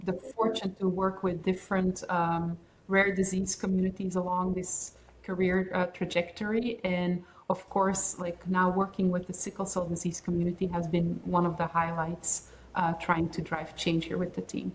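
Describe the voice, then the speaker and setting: A woman from Belgium with a Belgian accent, a guest on a podcast interview, explaining in a measured, thoughtful way with fillers. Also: on the low side, little pitch movement, a little hiss or hum, rough recording. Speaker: pharmaceutical research head and guest.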